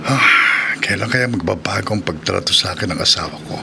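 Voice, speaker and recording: A man's voice: deep voice, fairly quick, vocal fry, background noise. A man with a Filipino accent playing a humble, hurt taxi driver husband in a melodramatic radio drama. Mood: dejected. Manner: earnest, emotive, melodramatic.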